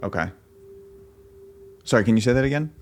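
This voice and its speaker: A man interviewing a job applicant on a casual call, friendly, with quick restarts and fillers. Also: mid-range voice, expressive, a little hiss or hum. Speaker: interviewer posing as an employer. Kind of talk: casual video call conversation.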